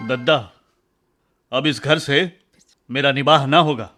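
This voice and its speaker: An actor in a radio drama playing an educated, principled young husband, earnest and emphatic. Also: male voice, medium pitch, slow pace, bright, forceful tone, soft background music. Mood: firm indignation. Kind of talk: Hindi radio drama acting.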